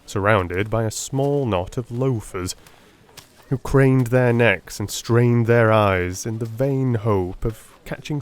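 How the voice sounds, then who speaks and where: A man narrating an audiobook in a dramatic, measured, literary style. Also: fairly low pitch.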